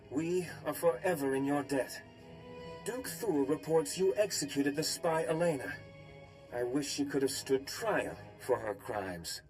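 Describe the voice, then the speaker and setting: A man plays a courteous noble official in a video game, his voice a little high. He sounds dignified, earnest and formal, with faint music behind. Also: a little mellow, lightly acted, rough recording.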